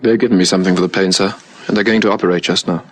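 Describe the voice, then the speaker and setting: Husky young wounded constable. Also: male voice, deep voice, fairly even intonation. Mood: pained, earnest. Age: young adult. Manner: deferential, halting. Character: wounded young male police constable, dutiful and earnest. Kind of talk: vintage radio drama acting.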